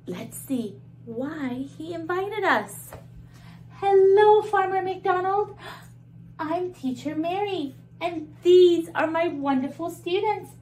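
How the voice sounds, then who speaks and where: Woman teaching toddlers, high voice, lively, very slow and drawn out, faint hum behind. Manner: animated, exaggerated gasps and sing-song questions to children. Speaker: children's teacher. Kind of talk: toddler learning video.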